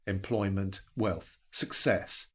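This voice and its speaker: Male narrator, lowish pitch, rough husky tone, dramatic literary storytelling, deliberate phrasing. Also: fairly even intonation, a bit bright.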